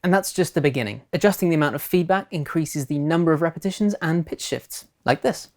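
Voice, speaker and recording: Woman, low voice, scripted tutorial narration, presenter, faint music in background. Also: fast.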